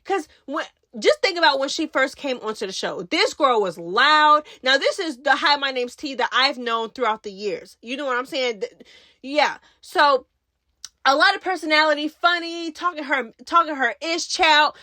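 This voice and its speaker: A woman's voice: high-pitched, expressive, moderate pace, sharp tone, no room sound, studio quality. A woman giving casual gossip commentary as a channel host, speaking in a sassy, emphatic, slangy way. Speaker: host of a reality TV recap channel.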